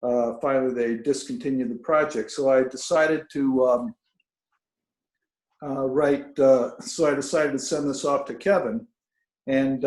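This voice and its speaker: A man, an author, speaking informally and haltingly to an online reading over a video call. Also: mid-range voice, a little mellow. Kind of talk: informal spoken introduction and reading of own fiction. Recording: roomy, echoing video call audio.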